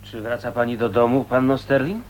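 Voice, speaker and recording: Guy playing polite secretive loner in a radio play, mid-range voice, wry and measured. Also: mid-range voice, fairly even intonation, a little hiss or hum, lightly acted. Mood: polite concern. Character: courteous, wry, secretive male loner and suitor.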